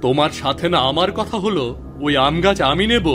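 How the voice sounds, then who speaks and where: A man's voice: a little high, animated, fairly quick, lightly acted. A man voicing an earnest, persistent village fellow in a cartoon moral story. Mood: hurt. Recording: music behind the voice.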